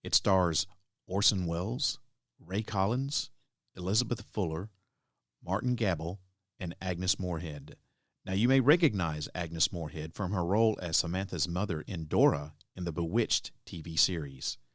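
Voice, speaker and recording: A man's voice: low voice, moderate pace, dry recording, clean studio sound. A man hosting a podcast, reading a scripted introduction to old-time radio drama. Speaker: podcast host.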